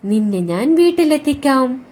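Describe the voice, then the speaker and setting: A woman's voice: high voice, sing-song, measured pace, a little mellow, pitched above the performer's own voice, exaggerated voice. A woman voicing a sly male crocodile in a children's story, coaxing and exclaiming, friendly on top and treacherous underneath.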